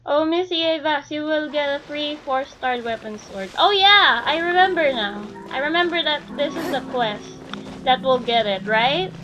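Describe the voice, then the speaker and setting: A woman's voice: sits high, lively intonation, normal speed, bright, forceful tone, low-quality recording. A woman chatting casually with viewers as she livestreams a game, reacting in short interjections. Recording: game music behind voice.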